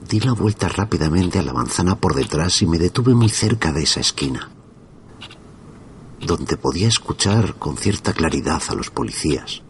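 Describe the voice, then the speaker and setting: Deep-voiced man narrating a horror tale. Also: lively intonation, a little hiss or hum. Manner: slow, hushed, suspenseful. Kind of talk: scripted horror story narration.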